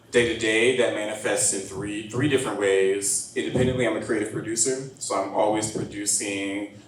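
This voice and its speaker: A man speaking on a panel in a measured, articulate and earnest way. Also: on the low side. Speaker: creative producer, organizer. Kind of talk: panel discussion. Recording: echoey hall, distant mic, faint hiss.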